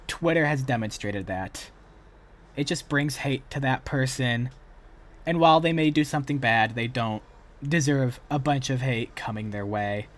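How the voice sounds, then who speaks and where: A man's voice: medium pitch, a little slow. A man chatting casually as the host of a reaction livestream. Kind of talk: casual reaction stream chat.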